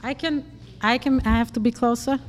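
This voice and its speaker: A woman's voice: a little high, fairly even intonation, fairly quick. A woman therapist speaking at an event, chatty and self-deprecating, with frequent fillers. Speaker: marriage and family therapist. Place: hall. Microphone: handheld mic, then speaks without one. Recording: reverberant hall, faint noise.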